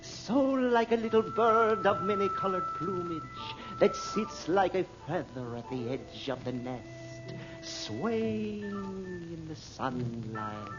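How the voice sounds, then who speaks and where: A man's voice: sits high, lively intonation. An actor playing a theatrical desert storyteller in an old radio drama, grandly poetic and half-singing his declamatory tale. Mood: poetic, mournful. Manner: slow, declamatory, half-sung. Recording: dull old radio recording with music.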